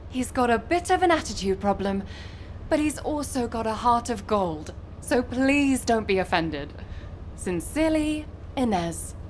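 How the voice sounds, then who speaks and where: Lively, high-voiced chatty young soldier. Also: female voice, slow pace, a little hiss or hum. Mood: friendly, encouraging. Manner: chatty, playfully exasperated. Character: cheerful, talkative young female soldier, teasing and warm. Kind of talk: video game voice acting.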